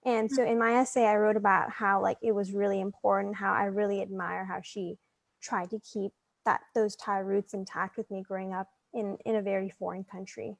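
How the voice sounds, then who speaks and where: A woman's voice: medium pitch, little pitch movement, moderate pace, vocal fry, dry recording. A young woman answering interview questions earnestly, with lots of "like" fillers. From United States. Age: young adult. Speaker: scholarship recipient guest.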